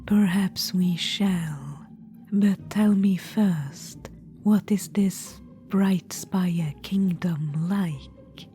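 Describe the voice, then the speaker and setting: Woman whispering as an undead witch-queen. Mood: sly, probing. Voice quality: whispered, soft and low. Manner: dry, mocking and unhurried. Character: undead witch-queen, cold, scheming and vengeful. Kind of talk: ASMR audio roleplay. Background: music.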